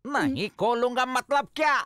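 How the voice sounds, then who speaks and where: A man's voice: very high-pitched, normal speed. A man voicing a fussy, officious male prison warden in a cartoon dub, with a pompous, instructing manner. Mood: pleading, indignant. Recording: loud noise behind the voice.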